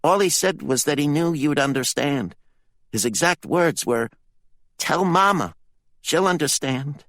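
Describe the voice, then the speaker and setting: A man playing a shaken male sidekick in a storybook reading. The voice rides high above the narrator's own, with pressing, breathless urgency. Dry, close recording. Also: lively intonation, unhurried, fairly bright tone, no room sound. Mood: urgent.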